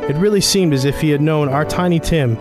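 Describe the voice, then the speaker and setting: Man as kindly grieving father, higher-pitched. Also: fairly quick, music in the background, lightly acted. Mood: tender grief. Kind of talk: audio drama acting.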